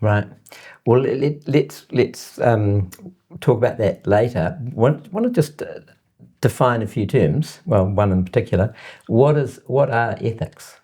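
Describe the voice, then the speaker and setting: A man's voice: mid-range voice, expressive, unhurried, dark tone, slightly rough. A man hosting an interview, asking curious, casual questions of his guest. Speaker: podcast host. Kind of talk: conversational interviewing.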